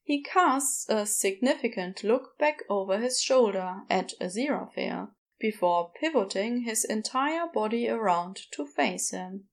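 A woman narrating scripted fiction in a measured, wry storytelling manner. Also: medium pitch, expressive, vocal fry.

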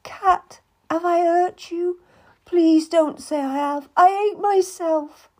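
A woman reading an audiobook, voicing a young noblewoman who pleads through tears, at times sinking to a whisper. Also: slow pace. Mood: pleading. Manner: high, tearful pleading with hushed whispers.

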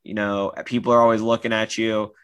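A man's voice: lowish voice, little pitch movement, brisk, smooth. A man co-hosting a podcast in casual chat, easygoing and hedging as he talks. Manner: easygoing, hedging with lots of "like" and restarts. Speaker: podcast co-host.

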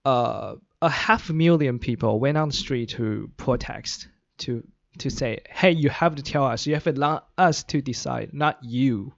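A man's voice: mid-range voice, unhurried, vocal fry, no echo, highs cut off. A man from Taiwan, an English speaker, chatting as a radio guest with many fillers and restarts. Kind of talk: casual interview chat.

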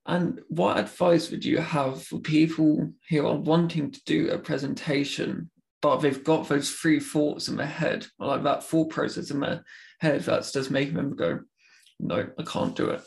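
A man's voice: a little high, steady tone, moderate pace, vocal fry. A man hosting a podcast who stammers, talking casually and earnestly with plenty of fillers. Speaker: podcast host. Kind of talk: casual conversation.

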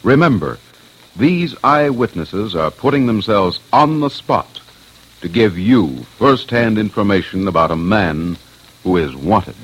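A man's voice: lowish voice, animated, a little slow, background noise. A man hosting and narrating an old radio crime documentary in a solemn, dramatic, scripted way. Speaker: host and investigator. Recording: old radio broadcast with music. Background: music.

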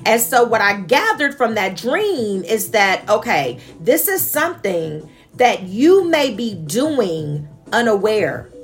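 A woman's voice: big pitch swings, slow pace, faint music behind. A woman talking earnestly and passionately about a dream and her faith, laughing now and then between points. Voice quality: naturally high-pitched voice. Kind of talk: reflective personal monologue.

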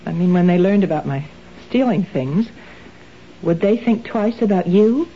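A woman's voice: on the low side, moderate pace, a little hiss or hum, natural voice. An actress playing a nervous married woman struggling with kleptomania, in a 1970s radio drama. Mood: anxious. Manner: tense, pleading, hesitant. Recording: dull old radio broadcast.

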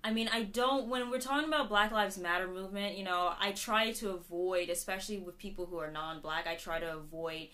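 A young woman chats on a podcast in an earnest, casual way. Her voice is bright and clear, and her pitch sits at a middle level. She often restarts and drops in "you know" while she works through a point. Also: fairly even intonation, a little fast, hardly any pauses. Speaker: podcast guest, protest organizer. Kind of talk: casual conversational interview.

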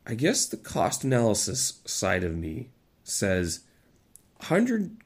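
A man's voice: on the low side, wildly swooping pitch, takes it slowly, breathy edge. A man with a stuffy nose from a head cold, rambling in a casual vlog with many fillers and restarts. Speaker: vlogger. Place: living in China.

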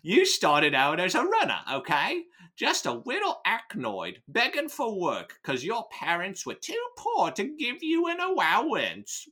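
A man acts out a smug underworld boss from an audiobook-style novel reading, with a wobbly Cockney accent. The voice is bright and swings around in pitch as it rambles through threats. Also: huge pitch swings, measured pace, slightly rough, full character voice. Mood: scornful. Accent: shaky put-on Cockney. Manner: rambling, threatening monologue punctuated with questions. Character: menacing, smug Cockney male crime boss lecturing a victim.

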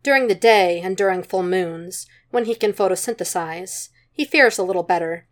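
A woman's voice: on the low side, normal speed. A woman reading a story aloud as scripted narration, in the manner of an amateur audiobook. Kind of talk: scripted narration of fan fiction.

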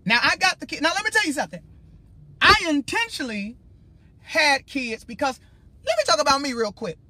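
High-voiced preacher guy on his live, bright sharp tone, rambly and emphatic, talking right at viewers. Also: big pitch swings, brisk, no room sound. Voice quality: high-pitched voice. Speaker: preacher hosting a livestream. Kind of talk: casual livestream talk.

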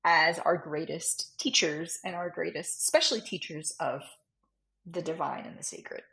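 A woman's voice: low-pitched, moderate pace, gravelly, sharp tone, poor audio. A woman author, a guest on a podcast, talking in an earnest, reflective way with frequent fillers. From Cuban American. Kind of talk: casual conversational interview.

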